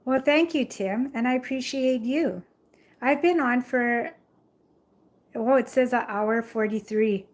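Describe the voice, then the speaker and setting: A woman's voice: on the high side, a little slow. A young woman hosting a casual phone live stream, chatting with viewers in a rambling way and often saying "like" and "you know.". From Jamaica. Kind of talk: casual live chat reading viewer comments. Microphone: phone held in hand.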